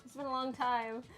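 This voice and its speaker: A soft-spoken woman chatting casually over a video game, giggling often and stumbling over her words. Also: sits high. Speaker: co-host of a gameplay show. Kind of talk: casual chat over gameplay.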